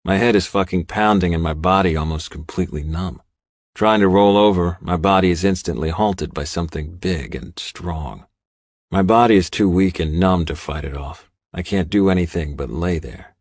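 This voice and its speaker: A man's voice: low-pitched, moderate pace, dry recording, studio quality. A man reading first-person dramatic fiction as an audiobook narrator.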